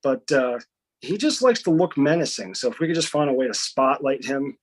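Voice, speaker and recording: A man's voice: medium pitch, animated, a little fast. A man hosting a podcast, joking, swearing and rambling in a loose, casual way. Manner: profane, rambling, jokey. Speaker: podcast host.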